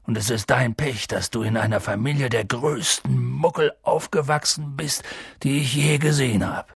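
A reader voicing a huge, gruff half-giant man who blusters loudly but turns hushed and worried. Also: mid-range voice, normal speed, no echo, studio quality. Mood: gruff booming. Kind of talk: audiobook reading.

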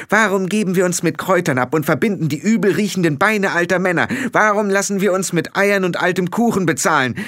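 A man's voice: sits high, fairly bright tone, voice pushed up. A man reading a storybook aloud, voicing a headstrong young girl witch who fires off indignant questions.

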